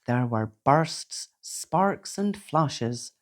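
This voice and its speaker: Woman storyteller, low pitch, very unhurried, gentle, theatrical, children's read-aloud. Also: expressive. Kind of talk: expressive read-aloud bedtime storytelling in rhyme.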